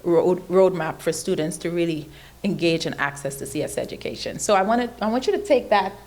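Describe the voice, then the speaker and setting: A woman from Trinidad with a Trinidadian accent, giving an animated motivational speech at an event, building emphatic lists and laughing often. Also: on the low side, brisk. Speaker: keynote speaker. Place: event stage.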